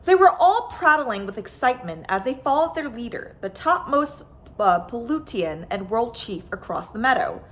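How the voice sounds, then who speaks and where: Animated woman reading a children's story. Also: a little high, moderate pace. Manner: expressive, dramatic emphasis with stretched words. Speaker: storyteller reading a picture book aloud.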